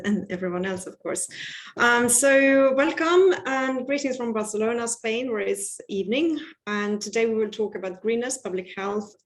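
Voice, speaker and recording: A middle-aged woman with a Swedish accent, presenting her research in a webinar over a video call, chatty and self-deprecating with frequent restarts. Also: mid-range voice. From Sweden. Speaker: guest speaker, researcher.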